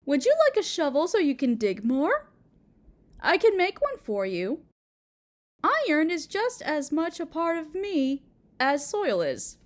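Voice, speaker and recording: A woman playing a doting, possessive Mother Earth goddess in a roleplay audio drama, sweet and cooing as if to a small child, with gentle menace. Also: high voice, sing-song, unhurried. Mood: playful doting.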